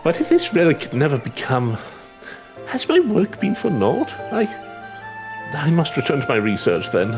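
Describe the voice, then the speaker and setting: A man's voice: a little high, huge pitch swings, normal speed, music in the background. A man voicing an elderly scholar in a fantasy game, earnest and anxious, with formal, archaic and hesitant speech. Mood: dejected. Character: elderly male scholar, courteous, earnest, anxious, devoted to research. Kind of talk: fantasy game dialogue read aloud.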